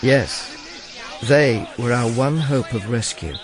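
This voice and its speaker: A man's voice: mid-range voice, takes it slowly, crowd noise, close to an everyday voice. An actor playing a wry, world-weary Roman imperial agent who narrates his own misadventures in a dry, sardonic, understated way for a radio drama. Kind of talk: first-person radio drama narration and dialogue.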